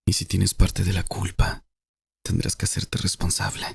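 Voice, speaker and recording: Man playing a very deep, rough young nobleman. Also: completely flat delivery, normal speed, no echo, full character voice. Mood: possessive, firm. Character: possessive, teasing young nobleman, arrogant and smug. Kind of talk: audiobook character dialogue.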